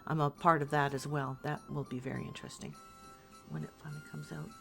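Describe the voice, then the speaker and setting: A woman with a low-pitched voice talks casually in an interview. She rambles and hesitates, thinking aloud as she goes. Faint music plays underneath her voice. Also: big pitch swings, quick pace, creaky, fairly bright tone. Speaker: voice actor being interviewed.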